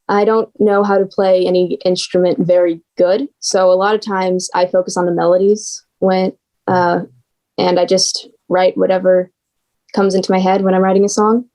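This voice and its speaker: A woman's voice: lowish voice, little pitch movement, normal speed, mellow, low-quality recording. A young woman, chatting casually as a guest writer. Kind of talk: casual chat.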